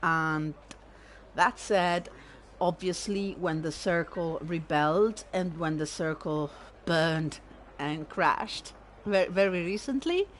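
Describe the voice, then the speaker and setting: A woman with an Italian accent, casually commenting on a game stream in a sardonic, animated way and swearing freely. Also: fairly low pitch, slow pace. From Italy. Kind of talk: casual let's-play commentary.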